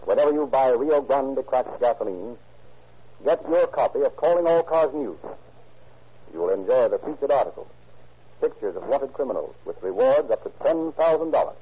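A man narrating a 1930s radio drama and reading its sponsor ads in a measured, dramatic manner. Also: medium pitch, animated, slightly rough. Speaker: narrator and announcer. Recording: muffled 1930s radio recording.

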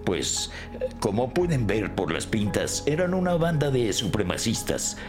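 A shaken male deli owner in an audio crime drama. His lowish voice moves with lively, emphatic swings as he recounts things indignantly. Music is clearly heard behind him. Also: a little slow. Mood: agitated. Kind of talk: scripted audio drama dialogue.

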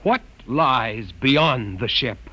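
A man's voice: medium pitch, expressive, extremely slow, bright, forceful tone, heavily acted. An actor playing an impetuous, defiant young man, bold and intense, in old-time radio drama style. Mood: probing. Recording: noisy old radio recording, echoing hall.

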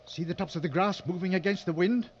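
An actor playing a seasoned, coolly sardonic hunting guide in a mid-century radio drama, giving clipped, authoritative instructions. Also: male voice, high voice, fast talker, close to an everyday voice. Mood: calm, instructing. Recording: dull old radio recording, noisy.

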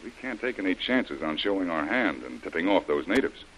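An American actor playing a tough, commanding adventurer in an old-time radio drama, giving brisk, impatient orders. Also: male voice, low-pitched, very animated, fairly bright tone, breathy edge, exaggerated voice, poor audio. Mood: resolute, businesslike. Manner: brisk, authoritative, clipped orders. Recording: old radio broadcast with faint noise.

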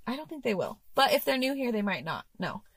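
A woman in her thirties with a deep, raspy voice, co-hosting a casual podcast from a parked minivan, giggly and joking. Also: big pitch swings. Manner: giggly, joking, quick asides. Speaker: podcast co-host. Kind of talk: casual chat.

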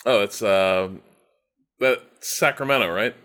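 A man co-hosting a podcast in relaxed, wry casual chat. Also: on the low side, unhurried. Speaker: podcast co-host.

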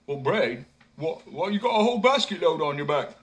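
An eager, naive young peasant simpleton from a storybook who shouts his greetings and questions as a man plays him. His voice is raised, loud and bright with excitement. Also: fairly high pitch, a little fast, pitched above the performer's own voice. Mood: calling out.

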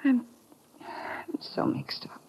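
A woman's voice: slow, close to an everyday voice. An actress playing a conflicted, wary woman in a 1950s radio drama, hesitant and intimate. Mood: mixed up, tender. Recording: muffled vintage radio recording.

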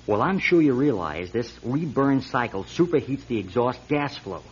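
An actor playing an earnest, insistent auto lab technician turned whistleblower in a radio drama, hesitant at times and urgent at others. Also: male voice, medium pitch, sing-song, normal speed, breathy edge. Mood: earnest, explaining. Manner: hesitant, then urgent and emphatic. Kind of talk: 1970s-style radio drama acting. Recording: dull lo-fi radio recording.

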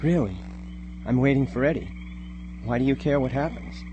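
A man's voice: medium pitch, normal speed, highs cut off, noisy background, natural voice. A man playing an anxious, earnest young son in a live radio drama. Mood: anxious waiting. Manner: earnest, worried.

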